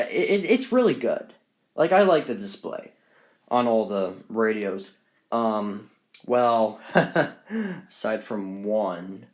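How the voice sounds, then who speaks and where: Rambling guy giving a casual show-and-tell. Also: mid-range voice. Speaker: hobbyist collector presenting radios on video.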